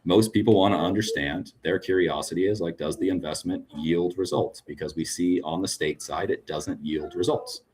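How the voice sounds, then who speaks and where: A man with a very deep, husky voice talks frankly in a relaxed podcast interview. He keeps checking in with his listener, tagging points with a quick "right?". His tone is low and breathy. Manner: frank, tag questions with "right?". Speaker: cannabis business guest.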